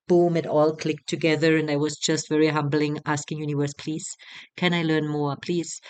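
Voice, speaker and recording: A woman in her thirties with a German accent, a retreat facilitator talking casually, halting and repeating words as she goes. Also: low-pitched. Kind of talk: casual spoken promotional talk.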